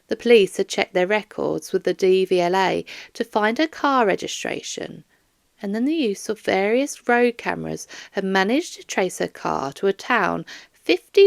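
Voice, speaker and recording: A woman's voice: medium pitch, gravelly, dry recording, clean studio sound. A woman reading scripted narration for a children's mystery audiobook.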